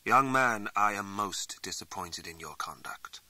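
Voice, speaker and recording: A man's voice: on the low side, expressive, measured pace, bright, forceful tone, no echo, exaggerated voice. A man voicing a suave, scolding older male mentor in an audiobook, arch, courtly and condescending. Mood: arch disappointment. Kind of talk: audiobook character dialogue.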